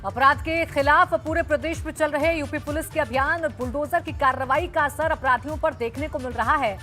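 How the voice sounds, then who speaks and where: Female news anchor, high and bright, broadcast bulletin delivery over a music bed.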